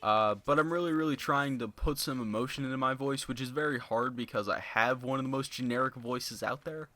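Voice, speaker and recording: A young man talking casually to his viewers in a flat, monotone voice, rambling with lots of fillers into a basic home microphone. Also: medium pitch, brisk, dry recording. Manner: rambling, filler-heavy, many "you know"s. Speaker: gaming YouTuber. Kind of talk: casual unscripted commentary to viewers. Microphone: basic low-end home mic.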